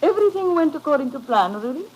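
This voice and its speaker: Sultry, swooping nightclub singer. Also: female voice, high-pitched, big pitch swings, quick pace, dull audio, a little hiss or hum. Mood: cool, conspiratorial. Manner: careful, formal phrasing without contractions. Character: coy, sultry European female nightclub singer and schemer. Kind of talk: 1950s radio drama acting. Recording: old radio recording with loud music.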